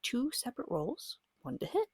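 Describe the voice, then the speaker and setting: A woman gives slow, patient guidance in a soft, breathy ASMR voice that often drops into a whisper. Her pitch moves in lively little swings between short, reassuring phrases. The sound is very dry and intimate. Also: no echo. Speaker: guide teaching a beginner tabletop game. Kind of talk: soft-spoken ASMR, slow guided explanation.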